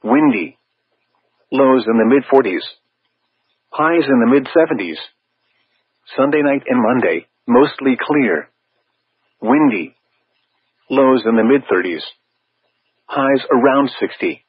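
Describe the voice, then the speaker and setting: A synthetic male text-to-speech voice reading scripted weather warning bulletins over weather radio, formal and measured, with stilted phrasing. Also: medium pitch, lively intonation, breathy edge, no room sound. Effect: weather radio broadcast. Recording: tinny narrow-band weather radio.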